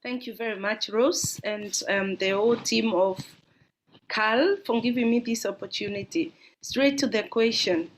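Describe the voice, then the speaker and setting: A woman's voice: a little high, measured pace, slight background noise, low-quality recording. A middle-aged woman from Kenya with a Kenyan accent, earnestly encouraging parents during a discussion. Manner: earnest and encouraging, talks in long flowing runs. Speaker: audience member asking a question. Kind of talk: heartfelt spoken comment in an online discussion.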